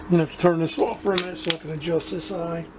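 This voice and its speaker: A man giving a casual hands-on demonstration to viewers as a hobbyist, haltingly searching for words and repeating himself. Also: a little high, steady tone, noisy background. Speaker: radio restoration hobbyist presenting to viewers.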